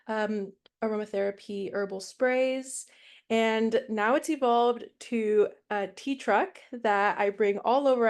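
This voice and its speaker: A woman's voice: medium pitch, darkish tone. A woman from Mexico teaching her first online class over a video call, casual and chatty with fillers. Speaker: guest instructor.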